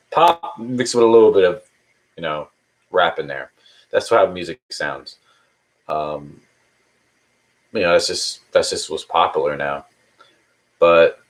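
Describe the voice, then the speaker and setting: A man's voice: sits low, rough recording. A man in his early thirties chatting casually on a live stream, answering viewer questions in a rambling, hesitant way with many restarts. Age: 30s. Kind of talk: casual chat.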